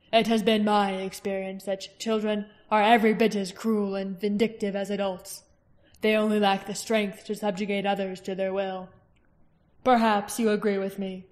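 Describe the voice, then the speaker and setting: A woman voicing a cruel, smooth-talking male tyrant king in an audiobook reading, slow and mocking. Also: medium pitch, natural voice.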